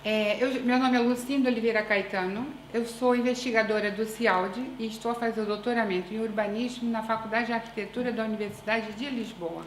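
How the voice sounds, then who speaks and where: A woman researcher with a Brazilian accent tinged by European Portuguese, giving a conference presentation in a deliberate, measured way with frequent hesitations. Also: on the high side, fairly even intonation, slight background noise. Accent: Brazilian Portuguese with European Portuguese influence. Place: conference room. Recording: echoey room with faint ambience.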